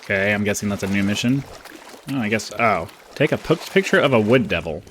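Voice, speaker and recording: A man's voice: on the low side, animated, brisk, a bit bright, a little hiss or hum. A man hosting a gaming video, giving casual, rambling commentary in an offhand, informal way.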